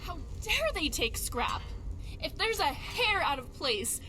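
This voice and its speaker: A woman voicing a quirky, chatty, fretful young adventuring companion in a fantasy game, expressive and wry. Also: very high voice, takes it slowly, exaggerated voice. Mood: upset, indignant.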